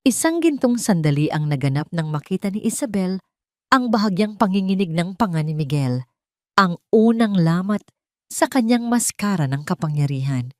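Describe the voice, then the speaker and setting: A woman's voice: on the low side, lively intonation, normal speed, no echo, studio quality. A woman narrator reading a scripted romance audio drama in a dramatic storytelling style.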